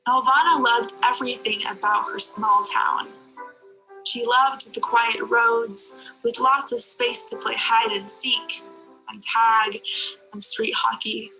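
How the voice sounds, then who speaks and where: A woman reads a children's story in a bright, crisp-edged voice. Her pitch sits a little high, and music plays underneath the narration. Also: fairly even intonation, slow, poor audio. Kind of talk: children's story read-aloud with character dialogue.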